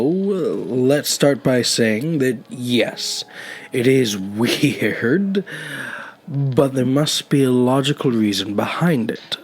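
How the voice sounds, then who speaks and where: An actor playing a lonely elderly man who was once homeless, with a hesitant, gentle, wry way of talking in an audio drama. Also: medium pitch, husky. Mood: thoughtful. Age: older. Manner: hesitant, reflective. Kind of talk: naturalistic audio drama dialogue.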